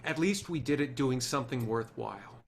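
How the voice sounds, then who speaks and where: A man's voice: medium pitch, animated, normal speed, vocal fry, slight background noise. A man playing an idealistic, charming, swaggering TV writer in a screenplay table read. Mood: passionate, idealistic.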